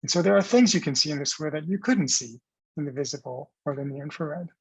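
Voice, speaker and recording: A man presenting scientific research as explanatory narration over slides, pausing mid-phrase in a halting delivery. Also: fairly high pitch, slightly rough, no room sound, rough recording.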